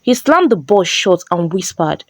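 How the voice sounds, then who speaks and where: Woman narrating a dramatic folktale, very expressive. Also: on the low side, measured pace, heavy background noise. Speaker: storyteller, narrator. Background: background music.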